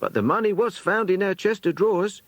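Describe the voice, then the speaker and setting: A man's voice: high voice, a little fast, dry recording, voice pushed up. A British man voicing a loyal, earnest woman companion in an audiobook, emphatic and confiding in manner.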